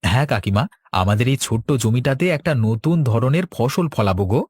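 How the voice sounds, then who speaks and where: A man voicing a determined, patient young farmer in a cartoon, earnest and steady. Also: mid-range voice, brisk, breathy edge, dry recording, studio quality. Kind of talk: cartoon dialogue.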